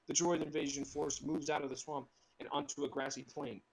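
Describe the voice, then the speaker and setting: A fairly high-voiced man reads stage directions and chats casually, needling the script with playful asides. His laptop microphone gives a somewhat distorted sound, with other people's voices clearly audible around him. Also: flat delivery, a little fast, crowd noise. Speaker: narrator reading screenplay stage directions. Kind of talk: joking group table read and casual online chat. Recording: distorted laptop mic on online voice chat.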